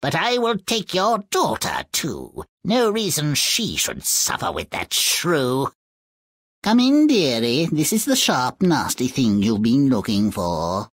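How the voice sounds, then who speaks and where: An actress playing a spiteful, vengeful old witch fairy in a video game, husky, menacing and drawn-out. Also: a bass voice, wildly swooping pitch, no room sound, exaggerated voice. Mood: vengeful, gloating. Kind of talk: theatrical video game acting.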